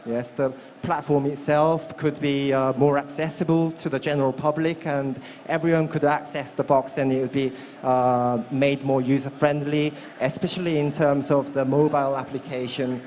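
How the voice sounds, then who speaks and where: A man from South Korea speaking on a live panel as an academic, in a measured, hesitant way. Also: medium pitch, flat delivery, darkish tone, a little hiss or hum. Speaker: clinical assistant professor, panel member. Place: live stream stage at a busy event.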